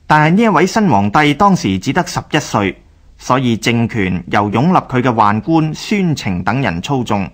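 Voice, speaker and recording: A man with a Hong Kong Cantonese accent narrates a scripted historical radio drama in a measured, formal storyteller's manner. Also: medium pitch, sing-song.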